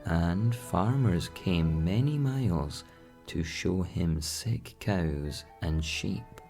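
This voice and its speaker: A man calmly narrating a scripted bedtime story as an audiobook, in a soothing manner. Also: low voice, extremely slow, faint music behind. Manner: calm, soothing.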